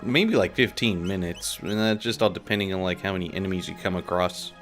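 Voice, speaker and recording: A man in his late twenties co-hosts a gaming video with a fairly low voice. He banters loosely and throws in joking, sweary asides. Faint game music runs underneath. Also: fairly quick, fairly bright tone. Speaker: gameplay video co-host. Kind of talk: casual let's play banter.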